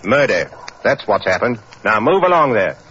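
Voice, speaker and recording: An actor playing a gruff, officious London police constable with a plain-spoken Cockney accent, in 1940s radio style. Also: male voice, on the low side, big pitch swings, moderate pace, a bit bright, slight background noise. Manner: gruff, blustering. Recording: dull old 1940s radio recording.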